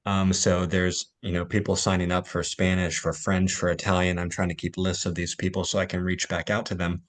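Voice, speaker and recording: A man hosting an online language game session, talking in a relaxed, chatty way with fillers. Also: deep voice, fairly quick. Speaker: host and game master. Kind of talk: casual online chat.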